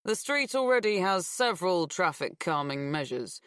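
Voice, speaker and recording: A woman voicing a polite, guarded young male police officer in an audiobook, in a measured, official way. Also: lowish voice, very animated, fairly bright tone, clean tone, no room sound, clean studio sound, voice pushed up. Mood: calm, official.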